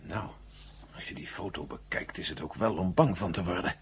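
A man's voice: deep voice, moderate pace, husky. A man playing a blunt, attentive American in a 1970 Dutch radio drama, speaking tersely and directly. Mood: uneasy. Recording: muffled, noisy 1970 radio recording.

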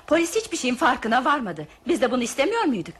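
An actress playing a quick-witted, protective female train passenger in a radio play, resolute and formal. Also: high-pitched, big pitch swings, husky, full character voice. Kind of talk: Turkish radio theatre acting.

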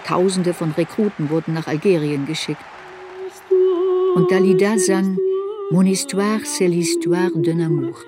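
An actress playing a reflective woman writer in a radio play, recalling her girlhood in a sober, deliberate, introspective way. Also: fairly low pitch, loud music behind the voice, close to an everyday voice. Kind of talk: literary radio play narration.

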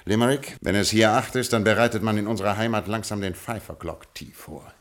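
A low-pitched male actor's gentleman gangster, a suave lord of old Scottish stock who has become a crime boss. He speaks with aristocratic understatement and dry irony, coolly menacing, in the manner of 1960s radio drama. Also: brisk, close to an everyday voice. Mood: nonchalant, ironic. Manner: aristocratic, dryly ironic, understated. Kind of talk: 1960s German radio play acting.

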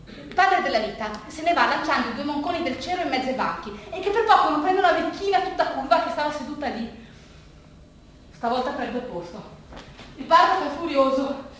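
A woman's voice: high-pitched, expressive, brisk, fairly bright tone. A woman actress delivering theatrical first-person narration in a dramatic monologue. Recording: echoey room, distant mic, faint noise.

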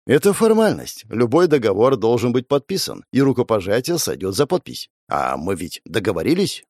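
A man's voice: mid-range voice, very animated, normal speed, a little mellow, no room sound, studio quality. Man as a sardonic, menacing demon summoner. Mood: coolly threatening. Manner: contemptuous, commanding, sighing.

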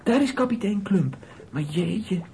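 A woman's voice: mid-range voice, lively intonation, unhurried, warm tone, slightly rough, full character voice. A woman playing an eager, plucky young boy in a children's radio play, earnest in manner. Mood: alarmed.

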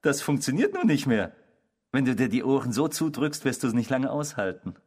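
A tormenting inner voice in a radio drama, the destructive self of his victim. The voice is husky and sits at a middle pitch. He insinuates and mocks with theatrical relish. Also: sing-song, a little fast, darkish tone. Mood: menacing.

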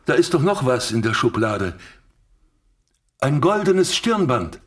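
A man playing a gruff, skeptical, grumbling police commissioner in a German radio play, blunt and exclamatory. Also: mid-range voice, big pitch swings, unhurried. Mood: skeptical, brisk.

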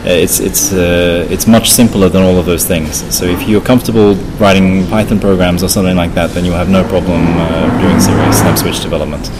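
A man with a low voice gives an informal technical explanation as an interview guest. He goes step by step, often restarting phrases, over clear background noise. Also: fairly even intonation, normal speed, hardly any pauses. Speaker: interview guest, software developer.

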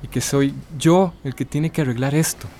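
An actor with a Costa Rican accent playing a remorseful young recovering addict in a radio drama, speaking hesitantly and confessionally. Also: male voice, mid-range voice, normal speed, mellow, slight background noise, lightly acted. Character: remorseful young male recovering addict, self-doubting but resolute. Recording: music under the voice.

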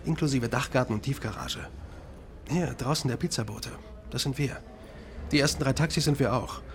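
An actor playing a wry undercover officer posing as a hotel receptionist in a crime radio drama, polite and matter-of-fact. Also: male voice, medium pitch, moderate pace, natural voice. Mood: wry, reassuring. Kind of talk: naturalistic German crime radio drama acting. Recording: clear background noise.

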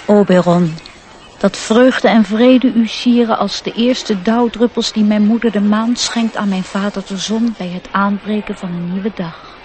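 A woman playing a proud, earnest young male elf in a radio play, lofty and formal, with a husky voice. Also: mid-range voice, steady tone, measured pace, darkish tone, a little hiss or hum, close to an everyday voice. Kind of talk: Dutch radio play acting.

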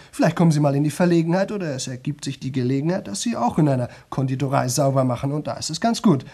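A talkative young fellow in a radio play, his voice pitched on the high side. He speaks colloquially to his listeners, jokes along, wanders off and interrupts himself. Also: a little mellow. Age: young adult. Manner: colloquial, digressive, jocular, self-interrupting. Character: chatty young man rambling nonstop to an audience. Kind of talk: 1970 German radio drama monologue.

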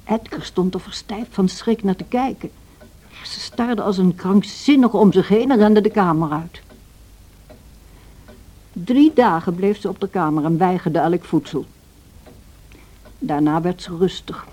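A woman playing an observant servant who narrates the story of a radio drama in a measured way. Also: on the low side, mellow. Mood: grave, dramatic.

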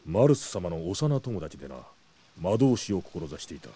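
A dignified old knight advisor, with a deep, mellow voice. He speaks in a grave, formal manner, stern and measured, as in an anime audio drama. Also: big pitch swings, slightly rough, full character voice. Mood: grave concern.

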